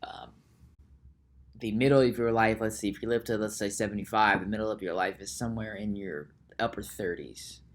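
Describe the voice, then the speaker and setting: A man talks through his thoughts on a solo podcast at an unhurried, deliberate pace. He circles back and restarts his sentences often, repeating words as he works out each point. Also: steady tone. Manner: musing, slow and halting, with frequent restarts and repeated words; reads search results aloud in a low mutter. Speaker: podcast host.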